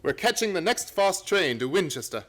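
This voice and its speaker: An actor playing a brilliant, severe, self-assured English detective in a radio play, with a clipped, imperious, theatrical manner. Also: male voice, sits high, big pitch swings, moderate pace. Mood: decisive.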